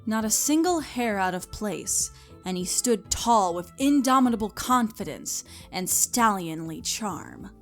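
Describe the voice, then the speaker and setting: A woman serves as narrator of a scripted comic story. She reads expressively at a middling pitch, with a musical bed behind her voice.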